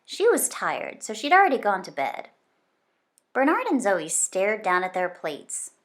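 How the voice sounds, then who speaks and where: A woman reading a children's book aloud in an expressive storytelling style. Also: medium pitch, unhurried. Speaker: storybook reader.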